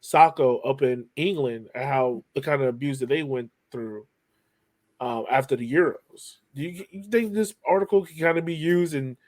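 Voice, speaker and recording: A man's voice: mid-range voice, moderate pace, darkish tone. A man hosting a podcast in a casual, conversational interview style, asking long questions. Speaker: podcast host.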